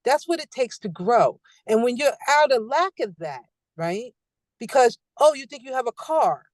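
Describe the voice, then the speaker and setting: High-voiced emphatic woman podcast host. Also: animated, moderate pace, a little mellow, no echo. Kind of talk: casual podcast conversation.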